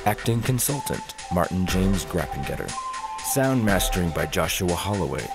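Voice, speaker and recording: A man's voice: low-pitched, sing-song, moderate pace, vocal fry, loud music behind the voice. A man reading scripted credits as an announcer.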